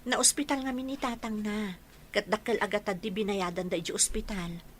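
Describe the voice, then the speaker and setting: A kind, dutiful young woman played by an actress in a village radio drama. She talks patiently at a medium pitch, with faint noise on the recording. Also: steady tone, normal speed, lightly acted. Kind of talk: Ilocano radio drama dialogue.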